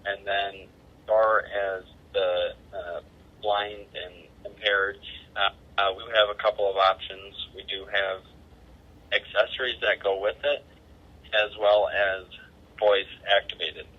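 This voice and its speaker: A man's voice: on the low side, level tone, takes it slowly. A man from the United States answering as a customer service representative over a two-way radio, politely and with some hesitation. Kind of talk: unscripted customer support answers. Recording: muffled walkie-talkie transmission.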